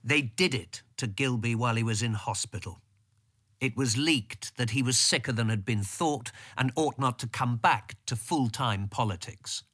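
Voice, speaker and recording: An actor playing the measured, observant narrator of a radio drama, with a British accent and an understated manner. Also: male voice, medium pitch, a bit bright. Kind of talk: scripted first-person drama narration.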